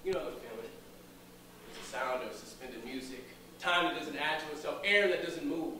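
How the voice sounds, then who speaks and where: A man's voice: fairly high pitch, moderate pace, pitched above the performer's own voice, close to an everyday voice. Male actor as haunted, lovelorn man, earnest and lyrical stage monologue, distant and very reverberant recording. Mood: wistful awe. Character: lovelorn Los Angeles man haunted by a vanished woman. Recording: echoey noisy cafe, far from mic.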